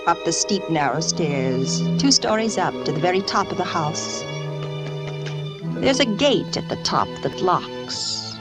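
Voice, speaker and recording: An actress playing a stifled, unravelling young wife, intense and confiding, in an old-time radio suspense drama. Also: female voice, on the low side, big pitch swings, unhurried. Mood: brooding, confiding. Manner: intense, theatrical, brooding confiding narration. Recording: vintage radio recording with music behind.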